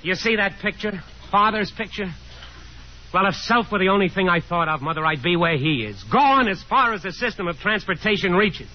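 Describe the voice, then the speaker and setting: A man's voice: high voice, lively intonation, moderate pace. Sarcastic restless young man, dramatic old radio acting, muffled vintage recording. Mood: frustrated. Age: young adult. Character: restless, sardonic grown son, frustrated poet. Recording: dull 1950s radio recording.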